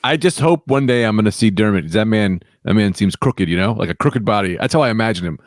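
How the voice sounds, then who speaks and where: A man's voice: fairly low pitch, lively intonation, quick pace, no room sound, studio quality. A man co-hosting a comedy podcast, chatting casually in a slangy, storytelling way. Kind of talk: casual chat.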